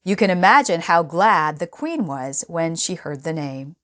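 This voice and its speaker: Female storybook reader, native Korean speaker, bilingual read-aloud for children; animated intonation, very unhurried. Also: sits low. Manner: expressive, deliberate storytelling to children.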